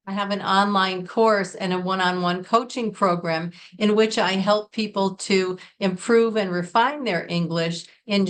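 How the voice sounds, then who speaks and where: An American woman from Connecticut, middle-aged, talks through a business English lesson in a sincere, carefully paced way. Her voice sits at an ordinary middle pitch. She puts weight on important words as she explains. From Connecticut, United States. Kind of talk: scripted lesson to camera.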